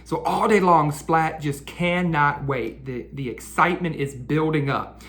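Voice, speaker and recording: A man hosting a read-aloud for children, speaking directly to them with questions and drawn-out emphasis. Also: a little high, a bit bright. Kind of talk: children's picture-book read-aloud and chat.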